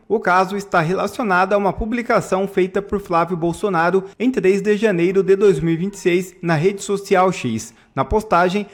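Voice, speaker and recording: High-voiced man reading news. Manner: steady, formal news reading with indignant asides. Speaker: news channel host. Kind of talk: read news commentary.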